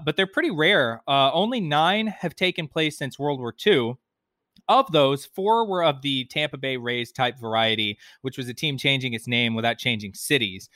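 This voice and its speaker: A man's voice: mid-range voice, bright, forceful tone, no echo, studio quality. A man talking sports and data conversationally as a podcast panelist.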